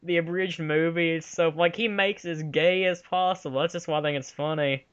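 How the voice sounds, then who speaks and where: A man's voice: on the high side, fairly even intonation, a little fast, a bit bright, clear, resonant tone. A young man hosting a casual fan movie commentary, rambling and giving his opinions in an offhand chat. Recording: slightly dull lo-fi home recording.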